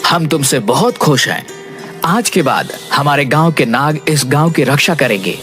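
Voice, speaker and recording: Man playing a regal, commanding snake king, slightly high-pitched. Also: sing-song, moderate pace. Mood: pleased, solemn. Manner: commanding, formal, grandiose. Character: stern regal snake king demanding justice. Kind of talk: Hindi animated fairy tale dialogue. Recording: music behind the voice.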